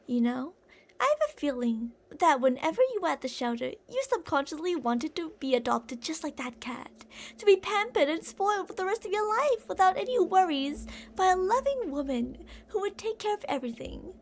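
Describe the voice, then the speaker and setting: A woman's voice: very high voice, sing-song, normal speed, a little hiss or hum, full character voice. A woman playing an obsessive older female kidnapper in an ASMR roleplay, cooing and possessive, doting yet menacing, with theatrical delivery. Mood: tender, possessive. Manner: cooing, possessive, theatrical, with pet names and whispered phrases.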